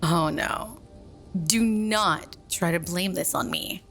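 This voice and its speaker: A woman's voice: lowish voice, takes it slowly, fairly bright tone, soft background music. A woman playing a bossy, irritable mean girl in an ASMR roleplay, with an exasperated, scolding and sarcastic way of talking. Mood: annoyed, sarcastic. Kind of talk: ASMR roleplay audio drama.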